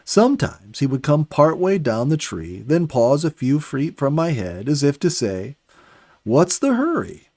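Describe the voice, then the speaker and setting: Male narrator for storybook reading: animated, wide-ranging intonation, mid-pitched, deliberate delivery, close dry sound. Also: dry recording.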